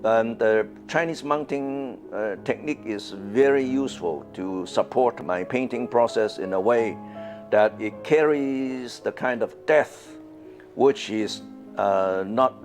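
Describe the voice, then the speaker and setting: A man's voice: fairly low pitch, unhurried. An older man from Hong Kong with a Hong Kong English accent, speaking about his own art in an interview and hesitating with many fillers and repeated words. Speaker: artist. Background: soft guitar music.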